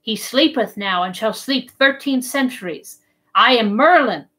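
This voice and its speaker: A woman's voice: on the high side, expressive, a little slow, bright, forceful tone. A woman voicing a malicious old male wizard disguised as a peasant hag, making gloating, archaic pronouncements.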